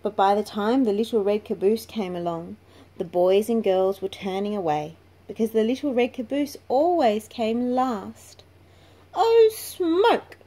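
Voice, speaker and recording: A woman's voice: medium pitch, moderate pace, warm tone. A woman reading a children's picture book aloud in a storytelling style, in a noisy home recording. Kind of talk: slow read-aloud storytelling.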